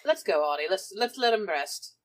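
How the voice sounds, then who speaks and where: Medium-pitched woman tabletop player. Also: animated, normal speed. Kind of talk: casual improvised role-play chat.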